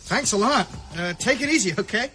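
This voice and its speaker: An actor playing an easygoing young Canadian hitchhiker in a radio drama, casual, with a North American accent. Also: male voice, high voice, expressive, fairly quick, pushed and bright. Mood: casual, puzzled. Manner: casual, laid-back.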